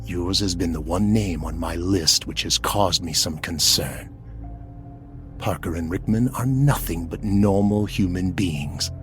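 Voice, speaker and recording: A man's voice: low voice, fairly bright tone, full character voice. A man voicing a menacing, mocking, condescending supervillain in a theatrical comic book dub. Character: menacing, mocking male supervillain in powered costume.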